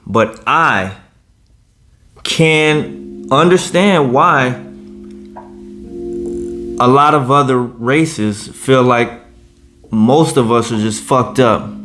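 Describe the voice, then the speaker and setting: A man's voice: medium pitch, fairly bright tone. A man in his late thirties giving blunt, profane, indignant reaction commentary. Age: 30s. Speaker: commentary vlogger.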